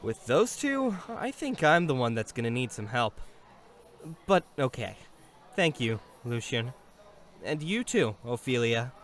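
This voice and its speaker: A man plays a stubborn kid brother in an audio drama. He is earnest and sheepish, and his pitch moves around a great deal. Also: big pitch swings, a little slow, a bit bright. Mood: relenting, earnest. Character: stubborn, proud younger brother seeking independence.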